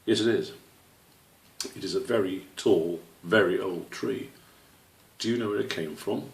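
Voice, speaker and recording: A man voicing an old father squirrel in a children's story, stern but patient, speaking in a measured, gently questioning way. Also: low voice, creaky. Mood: gentle, patient. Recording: roomy, slightly distant microphone.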